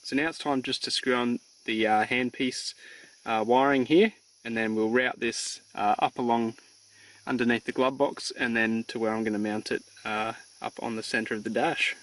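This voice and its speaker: A man, casually explaining a radio install step by step while working, with relaxed pauses. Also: mid-range voice, rough recording. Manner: relaxed, plain-spoken, frequent "uh" pauses. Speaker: DIY car install presenter. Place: outdoors beside and inside a vehicle.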